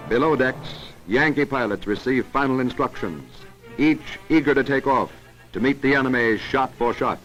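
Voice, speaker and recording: A man's voice: mid-range voice, moderate pace, fairly bright tone, muffled recording, faint music behind. An American man narrating a scripted wartime newsreel in a dramatic, declamatory, patriotic manner, on a vintage 1940s soundtrack.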